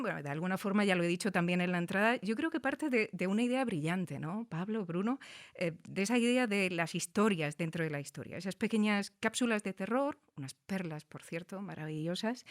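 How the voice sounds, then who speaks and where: A woman interviewing guests in a thoughtful, conversational way, recorded in a studio. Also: medium pitch. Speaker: interviewer and host.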